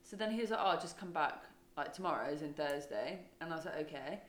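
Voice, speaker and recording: A woman's voice: low-pitched, expressive, fast talker, roomy sound. A podcast co-host, a woman, chatting casually, laughing often and telling rambling stories. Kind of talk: casual chat.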